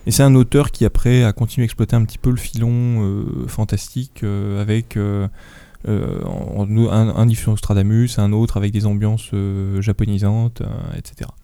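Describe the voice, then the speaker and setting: Man with a stuffy nose, very dark voice. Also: on the low side, little pitch movement, moderate pace, clear, resonant tone, no room sound. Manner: rambling, many fillers and restarts. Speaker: book columnist. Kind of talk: casual book review.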